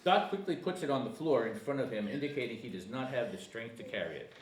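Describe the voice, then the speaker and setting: A man's voice: medium pitch, animated, quick pace, recorded from a distance. A man reading stage directions at a comedy screenplay table read, sometimes stumbling over words. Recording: echoey room with faint noise.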